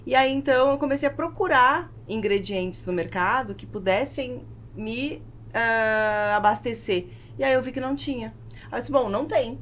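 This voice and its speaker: A woman's voice: a little high, moderate pace, fairly bright tone. Brazilian woman guest on a podcast telling her story, emphatic, bit of background noise. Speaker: podcast guest, food business founder. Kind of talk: personal storytelling in a conversational interview. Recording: clear background noise, room ambience.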